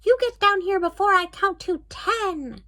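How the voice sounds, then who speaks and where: A woman voicing a bossy, impatient witch in a storybook reading, with a gruff, scolding manner. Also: medium pitch, very animated, normal speed, a bit bright. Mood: shrieking. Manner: gruff, scolding, bursts into shrieks. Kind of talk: reading a picture book aloud.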